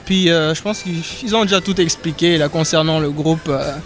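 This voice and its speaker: Young male singer in his twenties, high and slow-spoken, casual interview, music in the background. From Rwanda. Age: 20s. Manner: relaxed, hesitant with many fillers. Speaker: singer in a vocal group. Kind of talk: casual interview and a cappella singing.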